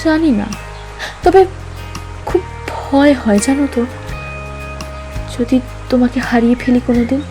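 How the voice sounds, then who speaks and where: A sweet young Bengali girlfriend in a cartoon love drama. She speaks in a high voice, by turns teasing and anxious. Music is clearly heard behind her. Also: measured pace, long pauses, poor audio. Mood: tender worry. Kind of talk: animated love story dialogue.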